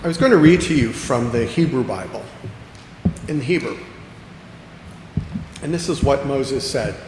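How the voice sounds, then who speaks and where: A man preaching a sermon as pastor, earnest, slowing down to stress key phrases and repeating lines for emphasis. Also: medium pitch, lively intonation, darkish tone. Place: church sanctuary. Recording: echoey church hall with long reverb, faint background noise.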